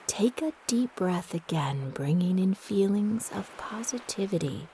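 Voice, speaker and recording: A woman narrating a guided meditation in a soft, breathy, gentle and soothing manner with long pauses. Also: medium pitch, animated, slight background noise, low-quality recording.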